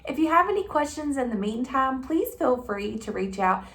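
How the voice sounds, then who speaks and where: A woman presenting in an instructive, expressive way, as for a tutorial or a storybook reading. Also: high-pitched, normal speed. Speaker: 4-H agent, presenter. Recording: roomy echo, distant microphone.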